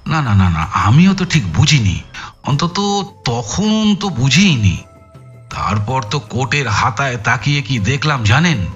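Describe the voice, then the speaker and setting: An actor playing an exhausted, frustrated police detective in an audio thriller, with a halting, emphatic way of telling things. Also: male voice, mid-range voice, big pitch swings, fairly bright tone, breathy edge, highs cut off, soft background music, heavily acted. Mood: exasperated. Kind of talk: dramatized audio thriller acting.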